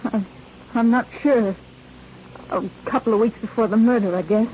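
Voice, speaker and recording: A woman's voice: on the high side, normal speed, natural voice. An actress playing a curt, resentful mother who works at a club, testifying tersely in a 1940s radio courtroom drama. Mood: guarded. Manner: terse, informal witness testimony. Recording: muffled, noisy old 1940s radio recording.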